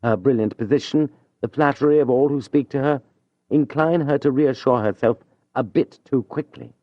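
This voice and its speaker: A man's voice: mid-range voice, moderate pace, no room sound, voice pushed up, close to an everyday voice. A man acting out a proud young schemer torn between pride and love. His speech is intense and declamatory, sometimes coolly controlled. The recording is dull and dry. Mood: scheming. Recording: dull dry studio audio.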